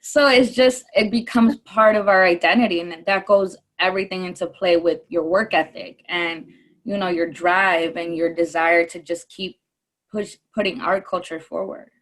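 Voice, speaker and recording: A woman's voice: fairly low pitch, steady tone, moderate pace. A woman from Connecticut answering on a panel in a casual, conversational way. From Connecticut, USA. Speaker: panel guest.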